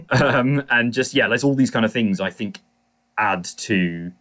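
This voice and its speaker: A man as a podcast panelist in casual discussion, rambling and haltingly correcting himself as he goes. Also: mid-range voice, fairly bright tone.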